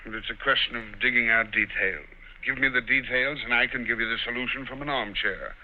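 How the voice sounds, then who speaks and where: A man's voice: mid-range voice, brisk, slight background noise, natural voice. An actor playing a portly, languid older brother who reasons from his armchair in a 1940s radio drama, dry and self-assured, on a muffled old radio recording.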